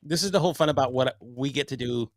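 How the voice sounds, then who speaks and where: A man's voice: medium pitch, fast talker, dry recording, studio quality. A man who is a guest on a livestream chats casually, laughs often and gives tips. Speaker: guest, fellow livestream host. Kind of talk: casual chat.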